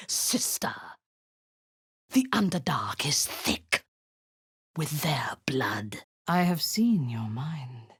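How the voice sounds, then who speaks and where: Low, husky drow warlord, cold and cruel, slow and deliberate, swinging from hissed threats to sharp barked orders. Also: female voice, no room sound, clean studio sound, full character voice. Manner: cold commands, often dropping to a menacing whisper. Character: cruel zealous drow woman warlord, commanding. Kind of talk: video game voice acting.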